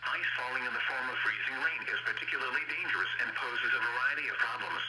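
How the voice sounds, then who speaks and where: A man reading scripted public information as a weather radio announcer, in a formal, measured, synthetic-sounding delivery over the radio. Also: medium pitch. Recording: roomy, distant, noisy weather radio broadcast.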